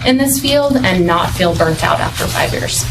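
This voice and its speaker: A woman's voice: lowish voice, expressive, moderate pace. A woman, a parent and kindergarten teacher, making a public comment at a school board meeting with frequent ums. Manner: hesitant, many ums. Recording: distant mic in a noisy meeting room.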